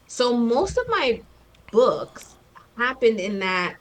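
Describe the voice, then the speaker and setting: Woman, 30s, interview guest, casual, filler-heavy, animated quoting. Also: fairly high pitch, rough recording. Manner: chatty, fast talker with many fillers and acted-out quotes. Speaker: guest, children's book author.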